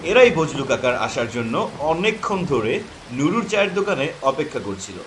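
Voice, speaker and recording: Male horror narrator, dramatic scripted delivery, slightly high pitch, very lively intonation. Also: brisk, noisy background.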